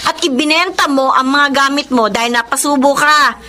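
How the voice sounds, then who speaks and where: Stern young fiancee, bright forceful high voice, accusing, radio drama style. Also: female voice, normal speed. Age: young adult. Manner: scolding, accusatory.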